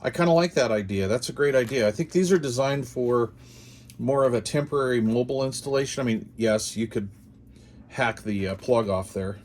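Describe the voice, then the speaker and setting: A man's voice: mid-range voice, brisk, darkish tone. A man hosting a casual product unboxing, talking informally and musing aloud in short phrases. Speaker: host of a radio gear channel.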